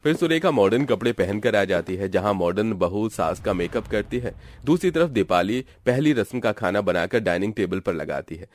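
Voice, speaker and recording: A man's voice: lowish voice, expressive, normal speed, hardly any pauses. A man giving scripted narration for a Hindi cartoon family story.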